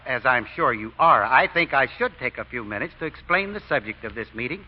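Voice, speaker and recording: A man playing a sly, folksy fake deacon in 1940s radio acting, drawling homespun sayings. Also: fairly high pitch. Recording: dull lo-fi old radio broadcast.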